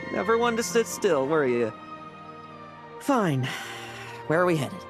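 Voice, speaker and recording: An actor playing a jaded young male knight in a video game, dry, restless and measured in his speech. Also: a little high, sing-song, full character voice. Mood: wry. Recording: game music underneath.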